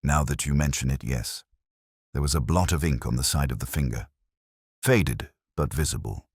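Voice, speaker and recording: Man reading as a wary, deep-voiced older banker. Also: husky, no room sound, clean studio sound, exaggerated voice. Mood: measured. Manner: measured, formal, reserved. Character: wary, polite sixty-year-old male bank manager.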